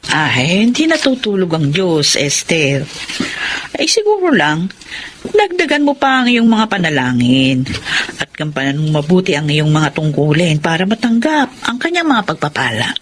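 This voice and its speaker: A woman's voice: low-pitched, sing-song, moderate pace. An actress playing a devout, opinionated, superstitious woman with a Filipino accent in a Tagalog radio drama. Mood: pious, consoling. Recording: faint background noise.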